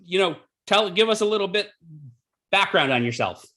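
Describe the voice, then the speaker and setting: Male podcast host, bright and a bit high, easygoing filler-heavy questions over video call. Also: expressive, normal speed.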